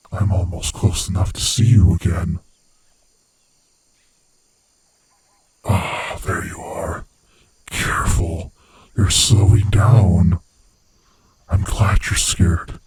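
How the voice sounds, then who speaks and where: A man voicing a sinister, gloating monster who hunts human prey, with a husky, rasping voice and a slow, taunting manner, in a horror ASMR roleplay. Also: darkish tone, exaggerated voice. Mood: stalking, menacing. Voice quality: husky, breathy, rasping low voice. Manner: slow, menacing, theatrically taunting.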